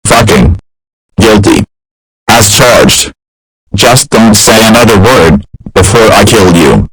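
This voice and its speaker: A man playing an enraged male witness who shouts and swears at a defendant. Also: lowish voice, animated, slow, breathy edge, no room sound, heavily acted, low-quality recording.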